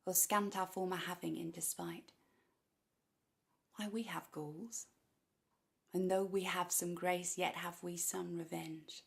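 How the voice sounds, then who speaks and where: A woman acting a classical Shakespearean monologue as a wronged, bitter wife, measured and quietly defiant in deliberate verse. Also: on the low side, level tone, creaky. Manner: slow, deliberate verse delivery.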